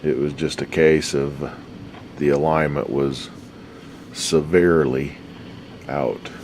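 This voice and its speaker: Middle-aged guy with a really deep slightly hoarse voice, lots of uhs, noisy workshop with humming in the back. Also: steady tone, a little mellow. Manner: halting, with many fillers. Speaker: radio repair hobbyist. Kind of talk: casual workbench chat.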